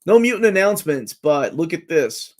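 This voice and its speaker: A man in his forties speaks in a slightly high voice. He hosts a live chat in a loose, casual way. He jumps from one comment to the next with a fan's excitement. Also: moderate pace. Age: 40s. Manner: excitable fan, jumps between comments and guesses.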